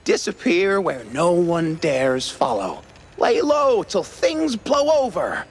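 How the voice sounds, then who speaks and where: An actor playing a shifty, rueful old war buddy who dabbles in the occult, in a theatrical, halting noir cartoon style. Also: male voice, on the high side, huge pitch swings, a little hiss or hum, exaggerated voice. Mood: worried, resigned. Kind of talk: noir cartoon game acting.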